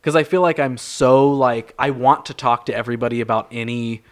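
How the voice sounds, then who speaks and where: Earnest man co-host, mid-pitched voice. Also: fairly even intonation, brisk. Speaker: podcast co-host. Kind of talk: casual chat.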